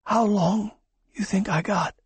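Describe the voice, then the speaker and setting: A man voicing a dying man on a respirator, speaking in a faint, breathy, strained way. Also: high-pitched, steady tone, unhurried, no echo, voice pushed up, full character voice. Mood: pleading.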